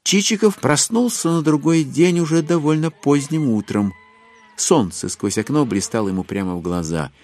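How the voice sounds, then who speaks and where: A man narrating a literary audio drama in an expressive scripted style. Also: medium pitch.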